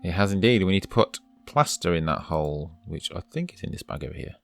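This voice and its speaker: A man's voice: deep voice, very animated, fast, creaky, fairly bright tone. A man from the UK commenting casually over a game, chatty and urging his character on, while losing his voice. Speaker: let's play host.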